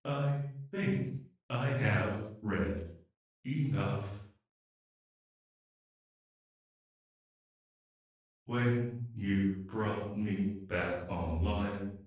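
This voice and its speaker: Weary, grieving ageing AI played by a man, slow halting delivery, audio drama, very reverberant and off-mic. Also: on the low side. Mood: sad, resigned. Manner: slow, halting, repeats words. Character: ageing experimental humanlike AI, weary and grieving, losing memory. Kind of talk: dramatic audio roleplay monologue. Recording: very echoey, distant from the mic.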